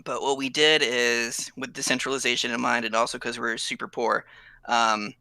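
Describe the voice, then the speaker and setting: Easygoing man with a bright dry voice. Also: medium pitch, flat delivery, moderate pace, creaky, smooth, no room sound. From California. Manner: easygoing, rambling, with fillers. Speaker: guest developer. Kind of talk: casual interview chat.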